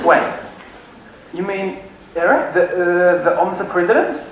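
A man's voice: high voice, fairly bright tone, recorded from a distance. A young man performing a casual, joking skit on a large stage, part of a student production. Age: young adult. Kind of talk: amateur stage play dialogue. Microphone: far stage microphones. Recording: very echoey hall with noise.

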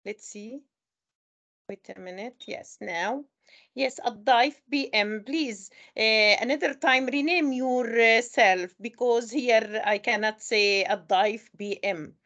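A woman hosts an online webinar over a meeting call. Her voice sits slightly high and moves slowly and deliberately. She sounds formal and courteous as she addresses the attendees. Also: a bit bright, highs cut off. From Palestine. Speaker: webinar host.

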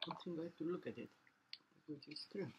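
A man's voice: fairly high pitch, a little fast, lo-fi sound, heavy background noise. A man casually discussing poems in a reflective, explanatory way. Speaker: listener commenting on poems.

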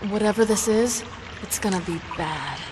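A woman's voice: medium pitch, normal speed, natural voice. A woman playing a sassy, defiant young half-vampire fighter in a video game. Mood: wary. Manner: sardonic, cocky. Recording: noisy game ambience behind voice.

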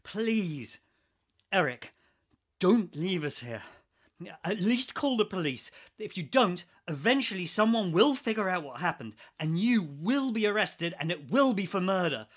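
A man's voice: high voice, sing-song, unhurried, exaggerated voice. A man in a radio play acting a sardonic, sweary, exasperated geology researcher who is trapped and argumentative. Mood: desperate pleading.

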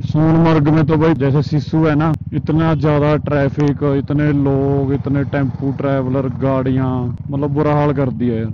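A man's voice: mid-range voice, little pitch movement, moderate pace. Young male moto-vlogger, casual chatty commentary, muffled helmet mic with constant wind and engine noise. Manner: chatty, joking, addresses viewers as bhai. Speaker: motorcycle vlogger. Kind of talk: casual running commentary while riding. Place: on a motorbike on a mountain road outdoors.

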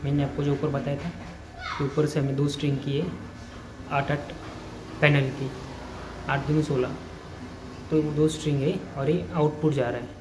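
A man talks through an installation as he walks around, pausing, restarting and repeating himself. It is recorded on a handheld phone on a rooftop and indoors, with clear traffic noise behind him. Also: on the high side. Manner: halting, repeats words and restarts while pointing things out. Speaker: electrician showing an installation. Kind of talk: casual walkthrough explanation. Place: rooftop outdoors, then indoor stairwell and wall. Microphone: handheld phone camera, filming himself off-frame.

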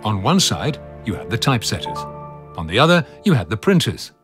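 A man's voice: lowish voice, sing-song, brisk. A man giving scripted narration for a museum audio play, as a storytelling narrator. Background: faint music.

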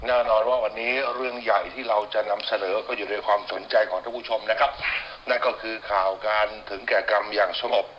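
A man's voice: on the low side, steady tone, fast, fairly bright tone. A man hosting a morning news show, presenting formally to viewers. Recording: slightly noisy archived TV broadcast.

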